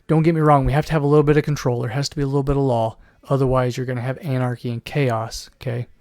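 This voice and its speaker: A man talking alone on his podcast with a hoarse, worn voice, sometimes clearing his throat. He rambles and restarts his sentences, now and then slipping into a mocking put-on voice. The sound is dry and close, with no room around it. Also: medium pitch, flat delivery, fast talker, a little mellow, dry recording. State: losing his voice. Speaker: podcast host. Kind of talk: solo commentary podcast.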